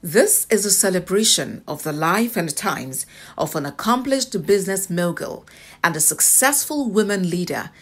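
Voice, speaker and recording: A woman's voice: on the low side, expressive, moderate pace. A woman with a Nigerian accent reading a scripted television news report as a voice-over.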